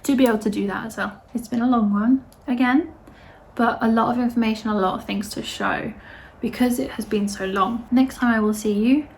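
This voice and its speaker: A woman, hosting her knitting podcast in a casual, rambling chat full of fillers and restarts, her voice slightly hoarse. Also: on the high side, steady tone, fairly quick, darkish tone.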